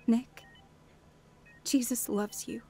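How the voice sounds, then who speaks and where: A woman's voice: fairly high pitch, steady tone, slow pace, mellow, a little hiss or hum. An actress playing an earnest, devout wife in a radio drama, acting and narrating her heartfelt testimony. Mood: gentle, assured.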